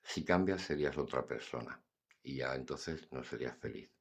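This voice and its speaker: A man's voice: extremely low pitch, normal speed, vocal fry, husky. An older man, talking bluntly and earnestly about acceptance in a casual philosophical monologue. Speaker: podcast host.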